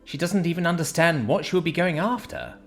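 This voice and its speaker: A man's voice: on the high side, lively intonation, fairly quick, faint music behind, voice pushed up. A man voicing a brash young woman who teases her friend and talks bluntly. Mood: teasing. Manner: chatty, mocking, blunt.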